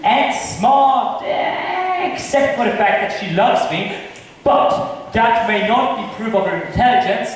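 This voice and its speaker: A man in an amateur school play, playing a vain, comically lovestruck bachelor with halting, exclamatory delivery. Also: very high voice, animated, recorded from a distance. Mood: smitten, boastful. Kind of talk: amateur school stage soliloquy. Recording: echoey hall from afar, clear noise.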